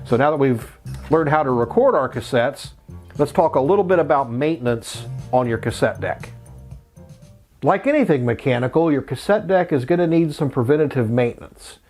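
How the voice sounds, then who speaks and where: Middle-aged man, mid-range pitch, tutorial host, plain-spoken, step-by-step, casual, faint background music. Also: animated, normal speed, darkish tone. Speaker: host of a vintage electronics tutorial channel.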